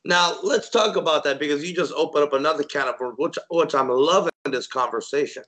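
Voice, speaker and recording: A man's voice: on the high side, a little fast, a bit bright. A man in his thirties hosting an interview, encouraging his guest with affirming interjections. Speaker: host interviewer.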